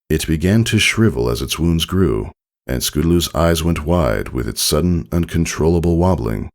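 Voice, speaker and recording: A man reading fan fiction as a narrator, with expressive, deliberate, dramatic delivery. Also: extremely low pitch, creaky.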